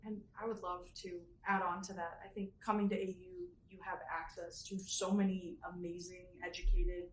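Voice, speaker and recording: A young woman speaks with real enthusiasm in a mid-pitched voice. The room echoes around her, with a faint hum underneath. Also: moderate pace. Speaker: university student. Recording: roomy room, faint noise.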